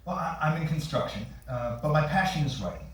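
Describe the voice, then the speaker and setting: Guy acting as defensive earnest writer, lively swingy pitch, theatrical, far off in echoey theatre. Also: brisk, lightly acted. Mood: friendly. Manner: argumentative, theatrical. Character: earnest, defensive male genre-fiction writer on a date. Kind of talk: staged play reading. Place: indoor theatre stage, far from the camera. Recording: echoey theatre, distant camera, faint noise.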